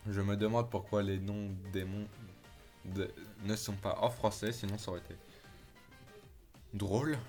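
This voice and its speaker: A man's voice: lowish voice, a little slow, pauses often, faint music behind. A man streaming a video game, chatting casually with his viewers and swearing when the game frustrates him. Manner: excitable, chatty, addresses chat as "les gars". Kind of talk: casual gameplay commentary.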